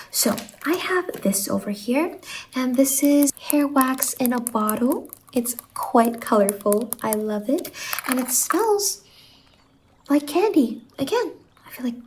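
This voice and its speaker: A woman playing a sweet, bubbly stylist from a dream land in an ASMR roleplay, speaking softly and often slipping into whispers. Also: a little high, lively intonation, unhurried, slight background noise, low-quality recording. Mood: cheerful, attentive. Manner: gentle, doting, chatty, often dropping into whispers. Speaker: ASMR performer.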